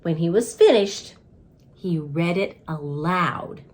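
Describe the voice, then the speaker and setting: A woman reading a children's book aloud as a reading coach, with expressive narration. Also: fairly low pitch, takes it slowly. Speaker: reading coach, storybook reader.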